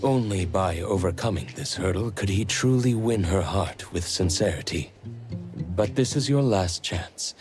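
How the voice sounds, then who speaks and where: Composed anime game leader, deep pitch, courteous, formal, helpful, measured delivery. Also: male voice, over music. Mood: earnest, composed. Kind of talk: anime game dialogue acting.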